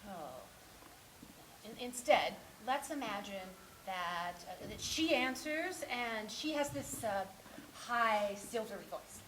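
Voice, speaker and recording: An actress playing an anxious, clumsy, lovelorn woman in a theatrical monologue, halting and scolding herself with dramatic swings. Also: medium pitch, animated, fairly bright tone. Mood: anxious, musing. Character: anxious, clumsy, lovelorn mistress rehearsing a dreaded phone call. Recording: echoey room with faint noise.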